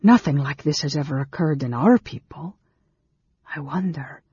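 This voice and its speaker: A man's voice: on the high side, animated, normal speed, darkish tone, slightly rough, dry recording. A man voicing a distraught young male stranger in a storybook, emotional and full of grief and awe. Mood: awed. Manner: anguished, gasping, whispering. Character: distraught, awestruck young male stranger grieving his sister. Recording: dull lo-fi recording, very dry.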